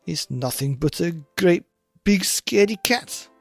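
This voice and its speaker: A man voicing a bratty, bossy little girl in a children's storybook, loud, mocking and given to wailing. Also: high-pitched, lively intonation, unhurried, vocal fry, no room sound, soft background music, exaggerated voice.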